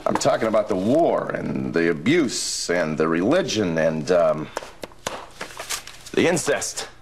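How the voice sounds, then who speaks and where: Ingratiating, lively-pitched 1930s executive man. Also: slow, background noise, full character voice. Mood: uneasy, hedging. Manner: ingratiating, hedging, repeats himself. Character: smooth-talking, uneasy male corporate radio executive of 1930s. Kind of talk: period television drama acting.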